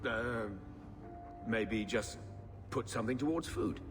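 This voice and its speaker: A man's voice: medium pitch, takes it slowly, long reverb tail, music in the background, close to an everyday voice. An actor playing a shifty, bitter middle-aged uncle down on his luck, grumbling and self-pitying, in a video game drama.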